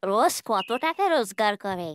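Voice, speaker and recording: A woman's voice: medium pitch, lively intonation, normal speed, a little hiss or hum, natural voice. A woman playing a bossy village wife in a cartoon, chiding and urging her husband. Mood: scolding. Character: nagging wife of a poor village thief. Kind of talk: cartoon voice acting.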